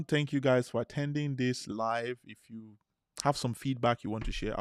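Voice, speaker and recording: A man from Nigeria, hosting a live webinar and giving an urgent, persuasive sales pitch that repeats its phrases. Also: creaky, dry recording, clean studio sound. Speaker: webinar host.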